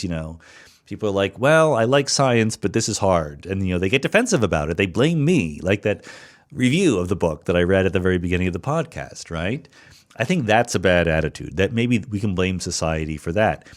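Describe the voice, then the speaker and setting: A middle-aged man with a low voice explains ideas to his listeners, moving his pitch up and down a lot as he goes. He thinks aloud in an easy conversational way, correcting himself mid-sentence and dropping in fillers, and now and then reads a listener's question aloud before answering it. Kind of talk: solo Q&A podcast, explaining science.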